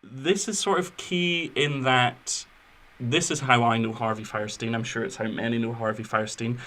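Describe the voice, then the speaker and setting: A man's voice: medium pitch, steady tone, normal speed, sharp tone. A man presents a film podcast in a mid-range voice. He moves between reading prepared career notes and loose, chatty asides. His manner is wry and informal. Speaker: podcast host. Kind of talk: semi-scripted film podcast chat with biographical narration.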